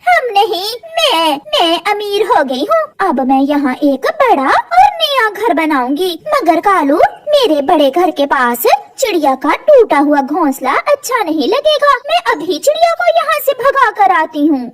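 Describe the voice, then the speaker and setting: Woman as boastful crow wife for a cartoon: very high, shrill, swooping and haughty. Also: very animated, measured pace, hardly any pauses, heavily acted. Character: boastful, bossy, excitable female crow wife.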